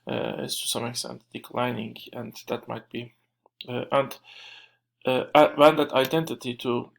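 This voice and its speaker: A man with a Turkish accent lectures without a script. His voice sits at a middling pitch with heavy vocal fry. His delivery is halting, full of searches for the right word and reworked phrases. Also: fairly bright tone, rough recording. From Turkey. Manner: halting, searching for words, frequent self-corrections. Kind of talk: unscripted lecture.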